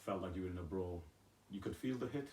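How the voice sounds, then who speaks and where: A man interviewing a guest, asking questions in a halting way and often rephrasing them. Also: deep voice, mellow. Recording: echoey room, distant mic, faint hiss.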